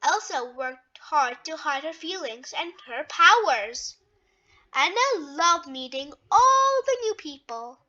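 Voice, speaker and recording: A woman reading a children's story aloud in a deliberate, expressive way with emphatic phrasing. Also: high-pitched, lo-fi sound.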